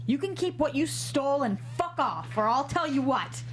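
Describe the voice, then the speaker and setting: A woman's voice: high voice, lively intonation, moderate pace. A woman playing a brash, sarcastic, foul-mouthed tough woman with a demanding manner in a comedy table read. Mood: angry, threatening.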